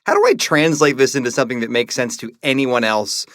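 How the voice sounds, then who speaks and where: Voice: medium pitch, expressive, a little fast, a bit bright, no echo, clean studio sound. A podcast co-host in casual banter, talking in a wry, irreverent and sweary way.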